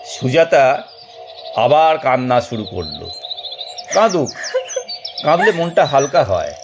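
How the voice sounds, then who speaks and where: A man narrating a detective audio drama in an expressive, dramatic storytelling manner with deliberate pauses. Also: mid-range voice, lo-fi sound, heavy background noise.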